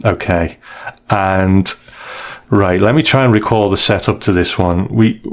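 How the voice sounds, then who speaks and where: Man, low pitch, casual discussion, hesitant and thoughtful, podcast co-host. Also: a little slow. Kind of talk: casual discussion and story review.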